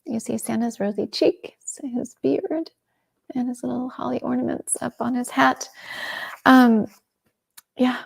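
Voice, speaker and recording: A middle-aged woman hosting a shopping livestream, chatting enthusiastically and dropping into soft asides. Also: fairly high pitch, fairly even intonation, unhurried, gravelly, pauses often, slightly rough. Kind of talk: casual product presentation.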